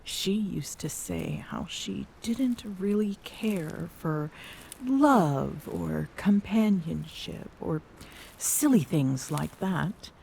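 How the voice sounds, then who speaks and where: A woman playing a tender, wistful but guarded Amazonian queen in an intimate ASMR roleplay, speaking softly and pensively, often close to a breathy whisper. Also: on the low side, expressive, extremely slow, slight background noise, heavily acted, low-quality recording. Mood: fond, amused.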